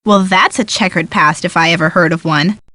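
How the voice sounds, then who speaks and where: A woman's voice: lowish voice, fairly quick, pushed and bright, no echo. An actress playing a practical, sceptical young woman in a video game, with a sarcastic, teasing manner. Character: sardonic, practical young tomboyish woman, sleuth's sceptical friend. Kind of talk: scripted video game dialogue.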